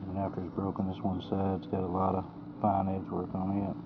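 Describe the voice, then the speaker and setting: A man's voice: deep voice, completely flat delivery, a little fast, vocal fry, dull audio, background noise. A plainspoken, folksy man musing in short phrases as he casually shows his finds to a handheld camera. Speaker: artifact hunter filming his finds. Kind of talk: casual first-person show-and-tell commentary. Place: outdoors, handheld camera close to the ground. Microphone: handheld camera mic. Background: radio music playing in the background.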